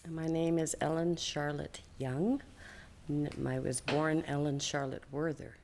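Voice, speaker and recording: Low-voiced hesitant woman in her seventies. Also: steady tone, a little slow, a little mellow, a little hiss or hum. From Canada. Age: 70s. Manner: hesitant, repeats words as she starts. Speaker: community elder. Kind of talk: interview answers.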